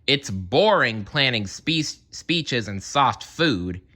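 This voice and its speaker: A blunt elephant from a picture book, acted by a man. The delivery is grumbly and complaining, taken slowly, with a forceful bright edge and swinging pitch. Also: sing-song, heavily acted. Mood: grumbling.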